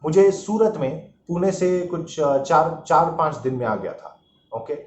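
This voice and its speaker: A man's voice: a little high, animated, a little slow, a little mellow. A man giving a casual, informal product review as a vlogger, mixing English phrases into his explanations. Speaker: motorcycle vlogger reviewing gear. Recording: echoey room, dull muffled sound, faint noise.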